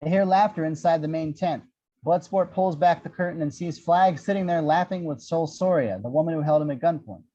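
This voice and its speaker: A man's voice: a little high, brisk, mellow, muffled recording. A man narrating the action descriptions of a screenplay at a table read, in a scripted storytelling style.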